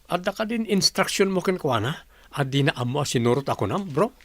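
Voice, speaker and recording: A man's voice: a little high, animated, fairly quick. A man with an Ilocano accent playing a skeptical, questioning company colleague in a radio drama.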